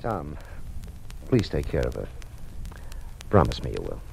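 A man's voice: rumbling low, huge pitch swings, measured pace, gravelly, a little mellow, exaggerated voice. An actor playing an older, protective, anxious American father in an old-time radio drama. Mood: worried, grave. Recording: noisy vintage radio recording.

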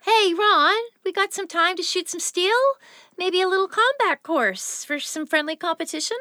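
A woman's voice: very high voice, normal speed, pushed and bright, dry recording, clean studio sound. A woman playing a cocky, flirtatious shooting rival in an audio drama, breezy and teasing. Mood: teasing, perky.

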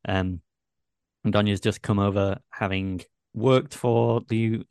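A man on a community radio show giving a halting, thoughtful film review, often repeating words. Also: on the low side, a little mellow, clean tone, no echo, studio quality. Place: radio studio.

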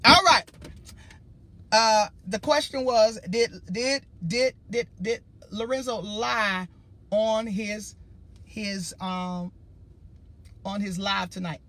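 High-voiced man preaching on a livestream. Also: slow pace, long pauses, bright, forceful tone. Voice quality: high-pitched voice. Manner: emphatic, rambling, addresses viewers directly. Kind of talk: casual livestream talk.

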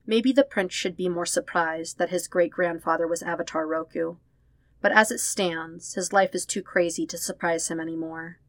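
A woman reading a story as a scripted narration, expressive and leaning on the emotional words. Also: fairly low pitch, moderate pace. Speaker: fan fiction podfic reader.

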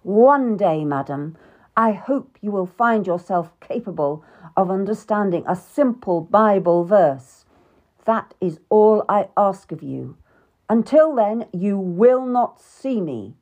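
A woman voicing an impatient, domineering middle-aged king who speaks loudly and curtly. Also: mid-range voice, big pitch swings, slow, full character voice. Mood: angry. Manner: loud, curt and scolding. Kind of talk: audiobook character reading.

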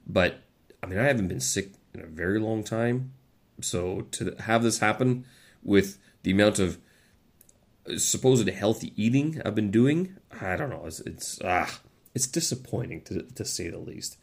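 A man's voice: fairly low pitch, lively intonation, measured pace, creaky, slightly rough. A man with a stuffy nose from a head cold, rambling in a casual vlog with many fillers and restarts. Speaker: vlogger. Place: living in China.

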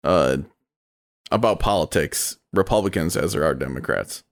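Laid back podcast guy, kinda low voice, brings up the news. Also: expressive, moderate pace, gravelly. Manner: easygoing, brings up news items. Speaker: podcast co-host. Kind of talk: casual chat.

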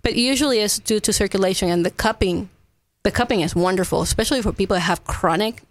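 Female interview guest, native Spanish speaker from Ecuador. Earnest, explanatory, conversational, medium pitch, very little room sound. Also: fast, dry recording. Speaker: guest, massage therapist.

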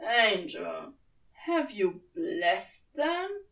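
A woman's voice: medium pitch, big pitch swings, very slow, creaky, fairly bright tone, far from the mic, full character voice. A woman voicing a cool, dry, teasing man with an arch, drawling manner in an audiobook story. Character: dry, teasing, cool male companion of an angel.

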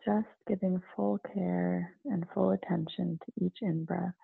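A woman leading a guided meditation in a calm, soothing manner, with gentle pauses between phrases. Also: lowish voice, vocal fry, breathy edge, no room sound. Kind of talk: slow guided meditation narration. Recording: narrow phone-like, muffled sound.

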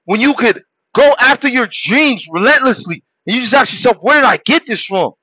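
Preachy high-voiced man, bright and forceful. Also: expressive, normal speed, dry recording, highs cut off. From Haiti. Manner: preachy, exhorting, swings from loud shouts to low murmurs. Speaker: podcast host. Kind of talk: motivational monologue.

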